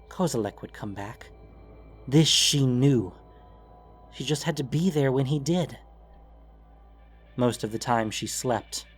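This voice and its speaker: A man reads a dramatic fantasy tale as a solemn narrator. His pitch sits in the middle of the male range and his phrasing is deliberate. Music plays underneath his voice. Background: background music.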